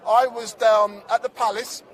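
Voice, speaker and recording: A man's voice: high-pitched, little pitch movement, measured pace, poor audio. A man from Barbados, working as a street interviewer at a protest, chatty and teasing, often cutting in to keep the floor. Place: outdoors at a city street protest. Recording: outdoor recording with crowd around. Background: crowd noise.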